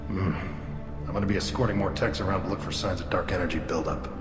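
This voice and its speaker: Man as a deep-voiced laconic soldier. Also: steady tone, fast talker, close to an everyday voice. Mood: dry, respectful. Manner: laconic, dryly respectful. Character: dry, loyal, deferential male alien soldier. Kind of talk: video game dialogue. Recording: echoey hall, music behind.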